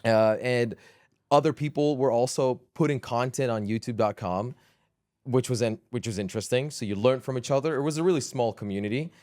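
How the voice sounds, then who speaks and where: A man's voice: mid-range voice, fairly quick, no room sound. Dutch man chatting in a dry room. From southern Netherlands. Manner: relaxed, chatty, frequent fillers. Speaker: guest, gaming creator. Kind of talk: casual podcast interview.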